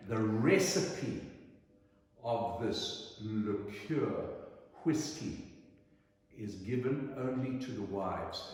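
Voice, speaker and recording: A middle-aged man from Zimbabwe telling personal anecdotes in a theatrical, emphatic way with dramatic pauses. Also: lowish voice. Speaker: storyteller. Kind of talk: animated anecdotal storytelling. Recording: echoey hall, distant microphone.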